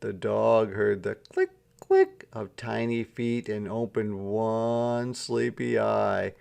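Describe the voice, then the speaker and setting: A man reading a children's story aloud as an expressive storyteller, with deliberate, dramatic phrasing. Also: lowish voice, creaky. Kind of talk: expressive scripted storybook narration.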